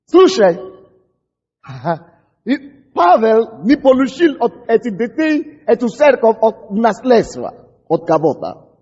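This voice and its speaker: A man's voice: sits high, slightly rough. Male pastor, English native speaker, fervent sermon; dull, echoey church-hall recording. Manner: impassioned, shouting prayers, stumbling over words. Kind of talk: fiery sermon.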